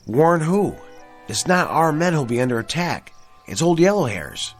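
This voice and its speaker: An actor playing a grave, commanding army sergeant in a radio drama, intense and deliberate. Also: male voice, fairly high pitch, sing-song. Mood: angry, sharp. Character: grave, commanding male army sergeant, convinced of an eerie theory. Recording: music bed under radio drama dialogue.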